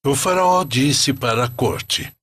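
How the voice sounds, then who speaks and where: Slow, expressive, husky male storyteller. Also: mid-range voice, no room sound, clean studio sound. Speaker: narrator. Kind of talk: scripted storytelling narration.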